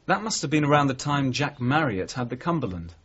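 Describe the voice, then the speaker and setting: Detective sergeant: husky, expressive pitch, unhurried probing, eighties radio drama on a muffled recording. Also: male voice. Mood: quietly probing. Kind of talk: 1980s radio drama acting.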